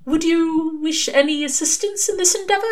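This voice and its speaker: A woman's voice: very high voice, moderate pace, voice pushed up. Prim male angel, voiced by a woman: formal, fussy, earnest, a touch smug, in a storybook reading. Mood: bright, considerate. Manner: formal, mannered wording.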